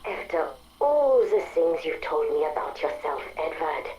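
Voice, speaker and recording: An actress playing an earnest, proud artificial intelligence, speaking calmly and formally in a game audio drama. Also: female voice, low voice, a little slow, dull audio, slight background noise. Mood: cool, probing. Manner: calm, precise, formal.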